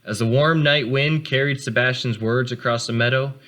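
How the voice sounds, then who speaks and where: A man reading a storybook aloud for children, expressive, speaking to them directly with casual asides. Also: mid-range voice, moderate pace. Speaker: read-aloud host for children.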